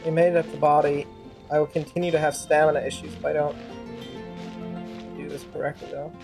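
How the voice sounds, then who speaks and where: A woman's voice: low-pitched, flat delivery, a little fast, vocal fry, over music. A woman streaming a game, giving casual commentary in a wry, argumentative manner.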